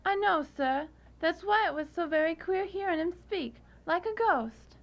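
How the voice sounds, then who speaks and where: A woman's voice: very high voice, lively intonation, normal speed, dull audio. A woman playing a timid, frightened, deferential housekeeper in a student radio drama. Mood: frightened, hushed.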